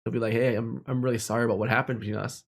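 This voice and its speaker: A man's voice: medium pitch, fairly even intonation, very fast, creaky, slightly rough. A young man telling personal stories in a casual, candid and reflective way, speaking informally. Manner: candid, informal, with mild swearing.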